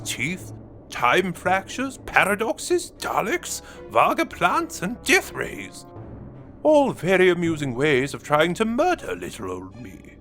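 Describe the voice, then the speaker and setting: A man performs a menacing, war-hardened villain in a fan audio drama. The voice sits slightly high and leaps dramatically up and down, savouring each phrase with sinister, wry relish. Music plays behind the monologue. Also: fairly bright tone, full character voice. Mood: wry, amused. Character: gleefully menacing male villain, a time-war veteran renegade.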